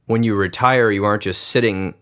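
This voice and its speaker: A podcast host with a fairly low voice leads the conversation. He thinks aloud as he talks, reflective and a little searching for words. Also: fairly even intonation, brisk, a bit bright. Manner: thoughtful, reflective, musing aloud. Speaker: podcast host, grandson of the pianist. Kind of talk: conversational interview.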